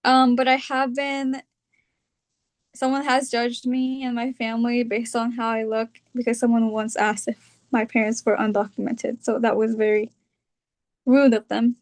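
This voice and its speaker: A young woman from California with a California accent, talking about her own experience in a reflective, hesitant way, often pausing on fillers. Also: a little high, level tone. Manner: hesitant, thinking aloud with fillers. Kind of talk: reflective personal talk.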